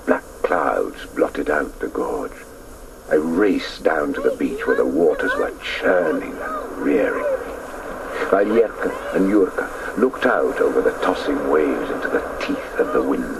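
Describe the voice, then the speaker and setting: Very deep, gravelly wistful storyteller. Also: male voice, sing-song, a little slow, distant mic, exaggerated voice. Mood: wistful recollection. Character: grown man recalling his boyhood, wistful storyteller. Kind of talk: dramatic radio play narration, first person. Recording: noisy old radio broadcast recording.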